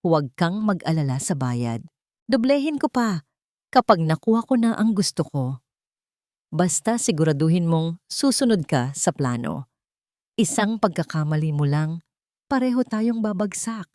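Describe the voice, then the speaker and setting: Woman narrator as a scheming fiancée, lively pitch. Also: measured pace, pauses often, dry recording, clean studio sound. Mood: cold, conspiring. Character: scheming woman, sweetly tearful fiancée to faces, coldly menacing in secret. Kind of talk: dramatic Tagalog romance audio story acting.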